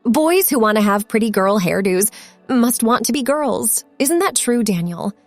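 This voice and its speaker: A woman's voice: fairly high pitch, animated, a little slow, dry recording, soft background music. A woman voicing an insistent mother in an audiobook story, coaxing and firm as she presses her child. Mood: pressing, insistent.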